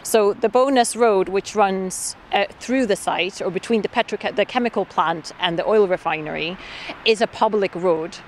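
A woman's voice: mid-range voice, moderate pace. A woman organiser explaining a protest in an earnest way, with many ums and restarts, interviewed outdoors. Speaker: activist and camp organiser. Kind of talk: interview answers. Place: outdoors at a camp. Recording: faint outdoor noise.

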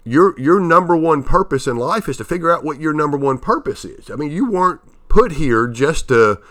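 A man in his fifties from Tennessee hosts a motivational podcast in a bright, ringing voice. His nose is a little stuffed up from allergies. He stops and starts often, filling the gaps with "uh" and repeated words. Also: fairly high pitch, expressive. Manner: halting, with many fillers and restarts. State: nasal from allergies. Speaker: podcast host.

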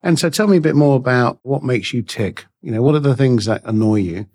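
A man's voice: medium pitch, brisk, a little mellow, slightly rough. A man hosting a casual interview, polite and encouraging. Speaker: host.

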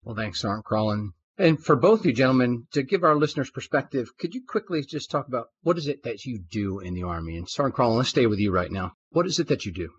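A man's voice: mid-range voice, expressive, quick pace, few pauses, a little hiss or hum. A man hosting a formal interview podcast, upbeat and full of praise for his guests. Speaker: podcast host. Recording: dull lo-fi sound.

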